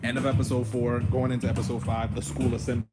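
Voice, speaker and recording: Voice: mid-range voice, little pitch movement, fast talker. A livestream host chatting casually with slangy, muttered asides. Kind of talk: casual chat and reactions. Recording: loud music over voice.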